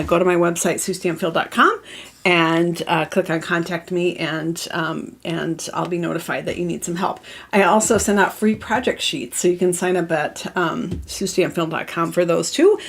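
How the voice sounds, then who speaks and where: Congested, chatty woman craft host. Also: on the low side. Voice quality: voice not strong, cough drop in mouth. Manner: chatty and friendly, many fillers, laughs at herself. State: congested from a cold. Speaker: craft demonstrator hosting a live stream. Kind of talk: casual live craft tutorial chat. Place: home craft room.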